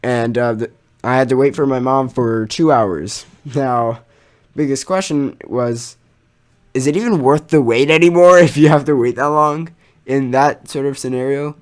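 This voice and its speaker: Animated young man telling stories. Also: medium pitch, moderate pace. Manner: animated, exaggerating, slangy and sweary. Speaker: storytelling YouTuber. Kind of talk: casual personal storytime.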